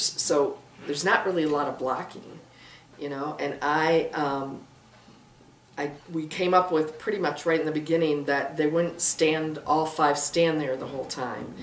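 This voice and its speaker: A woman in her fifties gives a casual interview as a director. Her voice is low-pitched, picked up a little far from the mic in a roomy space. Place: office with bookshelves. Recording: roomy, distant mic, faint noise.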